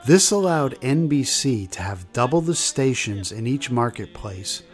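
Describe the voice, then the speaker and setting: A man's voice: mid-range voice, big pitch swings, a little slow. Male narrator for scripted radio history documentary, mid-range voice, light music bed.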